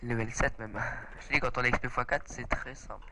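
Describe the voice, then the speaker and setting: A man's voice: mid-range voice, fairly quick. A young man, a bit stuffy from a cold, hosting a casual let's play chat and exclaiming often. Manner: excitable, chatty, often exclaims. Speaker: gaming channel host.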